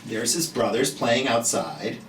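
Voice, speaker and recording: A children's storytime host reading a picture book aloud, slowly and expressively, with chatty asides to young listeners. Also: mid-range voice, over music. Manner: slow, expressive, speaking directly to children. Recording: roomy, distant mic, background noise.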